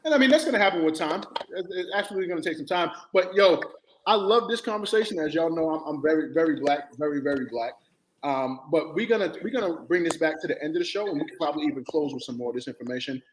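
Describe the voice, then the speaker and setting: A man hosting a casual sports and culture talk show, animated and quick to jump in over the others. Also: a little high. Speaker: podcast host. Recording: echoey room with faint noise.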